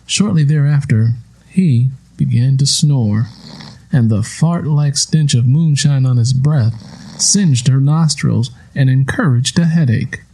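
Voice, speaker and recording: A man with a dark, mellow voice narrates literary fiction. His tone is husky and his storytelling is measured and solemn, at a middle male pitch. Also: poor audio. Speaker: audiobook narrator.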